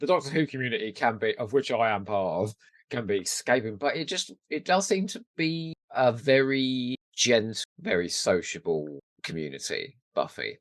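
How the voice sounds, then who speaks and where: Animated, jokey man on a podcast. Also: mid-range voice, moderate pace, dry recording. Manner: jokey, self-deprecating, rambling. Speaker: podcast co-host. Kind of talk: casual chat.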